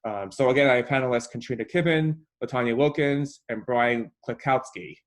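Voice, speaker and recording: A man's voice: medium pitch, a little fast. A man hosting a webinar panel over a video call, hesitant with a slight stutter and many fillers. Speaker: host and moderator. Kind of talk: casual webinar moderation.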